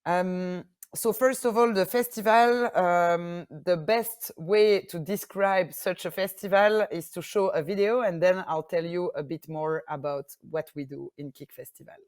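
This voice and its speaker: A woman with a Belgian French accent giving a conference presentation in a steady voice, with frequent fillers and restarts. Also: fairly low pitch, measured pace, hardly any pauses, no room sound. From French-speaking Belgium. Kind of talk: presentation talk.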